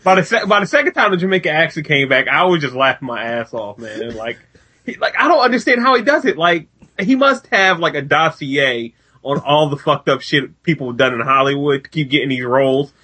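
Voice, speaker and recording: A man's voice: a little high, expressive, fairly quick, pushed and bright, husky. An American man co-hosting a casual film chat, reacting with incredulous, slangy, profane banter. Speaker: movie review podcast co-host.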